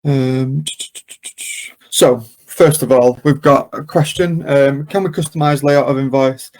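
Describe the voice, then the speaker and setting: A man presenting a live software demo and question session, explaining step by step in an informal, hesitant way. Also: mid-range voice, steady tone, a little slow, low-quality recording. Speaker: webinar presenter and software demonstrator.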